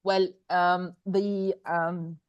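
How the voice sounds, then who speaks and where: Female panelist, lower voice, casual and halting, lots of ums. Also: flat delivery, clean tone, lo-fi sound. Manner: hesitant, with many fillers. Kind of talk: casual webinar remarks.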